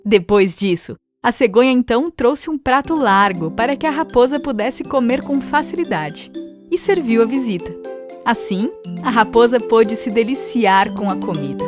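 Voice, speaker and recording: A woman's voice: on the high side, expressive, moderate pace. A woman with a Brazilian accent narrating a scripted fable for children as a storyteller. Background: music.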